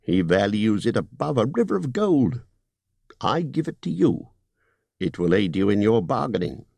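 A man voicing a fussy, polite male hobbit burglar who talks in a businesslike, slightly squeaky way. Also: sing-song, normal speed, gravelly, darkish tone, husky, no room sound, exaggerated voice. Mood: earnest.

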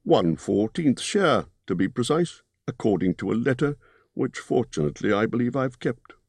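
A man voicing a fussy, polite male hobbit burglar who talks in a businesslike, slightly squeaky way. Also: expressive, normal speed, a little mellow, husky, dry recording.

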